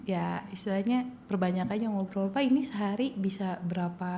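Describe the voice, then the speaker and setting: A woman's voice: mid-range voice, brisk, mellow, a little hiss or hum. A young woman, a civil engineer guesting on a podcast, chatty and encouraging with easy laughter. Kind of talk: casual advice chat.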